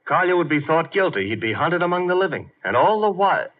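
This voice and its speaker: An actor playing a tough, brisk, slangy detective's assistant in a 1950 radio drama. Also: male voice, a little high. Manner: slangy, wisecracking, animated. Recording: muffled old 1950 radio broadcast.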